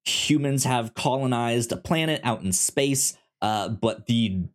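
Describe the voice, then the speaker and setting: A man co-hosting a podcast in casual review chat, phrasing his thoughts in a somewhat halting, hesitant way. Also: medium pitch. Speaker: podcast co-host.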